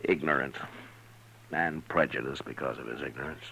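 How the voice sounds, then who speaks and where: Very deep-voiced western marshal. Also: male voice, vocal fry, bright, forceful tone, exaggerated voice. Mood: somber, reflective. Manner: unhurried, plain-spoken, measured. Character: calm, fair-minded frontier marshal, adult man. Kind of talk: 1950s western radio drama acting. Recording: old noisy radio recording.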